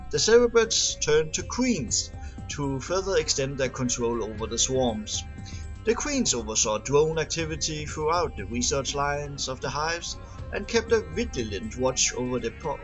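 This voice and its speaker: A man reading scripted lore aloud as an amateur narrator, sometimes stumbling and repeating words. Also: a little high. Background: music under the voice.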